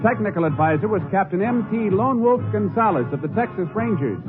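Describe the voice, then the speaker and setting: A man's voice: high-pitched, lively intonation, a little fast. A man announcing a radio program in a formal, scripted 1950s broadcast style. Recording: narrow-band old radio with loud music.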